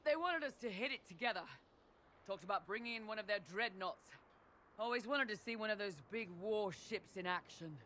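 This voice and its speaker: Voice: mid-range voice, brisk, background noise, natural voice. A performer playing a cheeky, eager young mercenary lieutenant in a video game. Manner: cheeky, informal. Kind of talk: video game dialogue acting.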